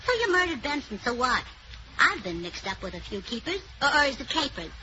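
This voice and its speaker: High lively ditzy lady in a 1940s radio comedy bit, muffled old broadcast with hiss. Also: brisk. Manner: scatterbrained, ditzy. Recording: dull lo-fi old radio recording with clear hiss.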